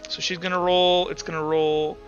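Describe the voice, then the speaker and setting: A man running a tabletop roleplaying game as game master, casually narrating and thinking aloud in a halting way. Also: high voice, level tone, a bit bright, lo-fi sound, soft background music.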